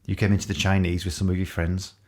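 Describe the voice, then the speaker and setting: An actor playing a regretful, self-doubting boyfriend in an intimate audio drama, speaking haltingly and apologetically. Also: male voice, little pitch movement. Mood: shy, wistful. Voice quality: low gravelly voice. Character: Simon, regretful, self-doubting boyfriend near the world's end.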